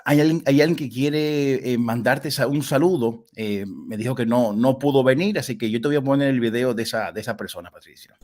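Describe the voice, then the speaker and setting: A man with a Dominican Spanish accent hosting a casual live interview, talking at length in an effusive, halting way. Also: mid-range voice, darkish tone. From Dominican Republic. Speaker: interviewer, host.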